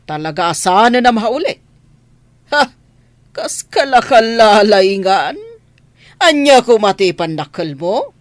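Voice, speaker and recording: A woman playing a haughty, threatening mistress of a mansion in a radio drama, imperious and deliberate. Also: mid-range voice, big pitch swings, dry recording. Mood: angry, menacing.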